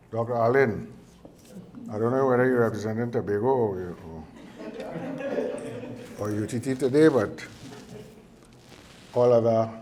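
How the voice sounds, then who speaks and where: A man's voice: lowish voice, mellow. An older man with a Trinidadian accent giving a ceremonial speech, chatty and joking, wandering off into anecdotes with plenty of fillers and restarts. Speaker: university chairman at signing ceremony.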